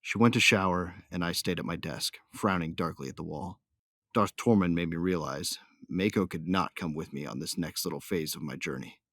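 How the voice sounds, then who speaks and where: Brooding, hot-headed bounty hunter , male actor: deep, dry sound, slangy first-person audio drama storytelling with menace. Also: normal speed, a bit bright, no room sound.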